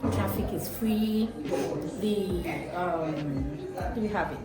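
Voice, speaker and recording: A woman's voice: fairly low pitch, slow. Woman from nigeria talking casually, laughs a lot, roomy echo, music under. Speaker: student interviewee. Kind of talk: casual on-camera answers.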